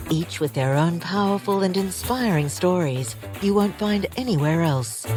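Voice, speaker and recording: A woman reading a smooth, scripted introduction to a podcast. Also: on the low side. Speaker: show announcer. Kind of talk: scripted promo narration.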